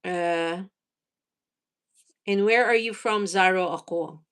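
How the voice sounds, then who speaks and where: A woman's voice: lowish voice, slow, fairly bright tone, clear, resonant tone. Filipina lady in her 40s chatting on a livestream, laughs a lot, lots of uhs, tagalog is her first language. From Philippines. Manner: chatty, laughs often, thinks aloud with fillers. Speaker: livestream host. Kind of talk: casual livestream chat reading comments.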